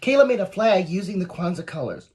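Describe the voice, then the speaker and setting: A woman reading a picture book aloud to young children, expressive and encouraging, with asides to the listeners. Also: fairly low pitch, fairly quick, breathy edge. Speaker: volunteer story reader.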